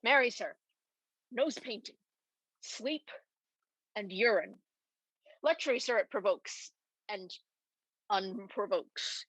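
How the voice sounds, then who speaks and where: Young woman acting tipsy castle gatekeeper, bright forceful voice, bawdy, grumbling, exaggerated comic Shakespeare, dry sound. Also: mid-range voice, animated, slow, pauses often, dry recording, poor audio. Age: young adult. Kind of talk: amateur student Shakespeare comic monologue.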